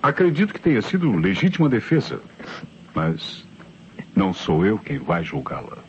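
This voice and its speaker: An actor with a Brazilian accent playing an authoritative police inspector, measured and declamatory in old radio drama style. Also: male voice, fairly low pitch, big pitch swings. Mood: grave, sober. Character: authoritative police inspector calmly explaining the crime. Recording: dull, noisy old radio recording.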